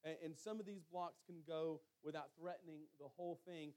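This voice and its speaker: A man preaching a sermon as storytelling and scripture reading, using emphatic pauses and repetition. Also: a little high, steady tone, darkish tone.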